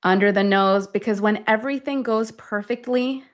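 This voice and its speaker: A woman leading a guided affirmation meditation, speaking deliberately in short phrases with long pauses between them. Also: mid-range voice. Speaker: guide of a self-affirmation tapping session.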